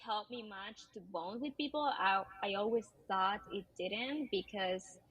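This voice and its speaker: Breathy-voiced woman from Peru. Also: mid-range voice, a little slow, a bit bright, no room sound, rough recording. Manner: hesitant, many ums and uhs. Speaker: teacher and podcast guest. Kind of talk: casual interview conversation.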